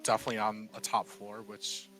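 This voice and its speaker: Bright-voiced man playing a tabletop game. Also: on the low side, completely flat delivery, fairly quick, soft background music, low-quality recording. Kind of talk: casual improvised game chat.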